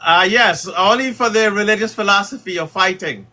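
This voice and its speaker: A middle-aged man from Guyana hosting a casual livestream as a community leader, talking in a halting way with many fillers. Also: high voice, sharp tone.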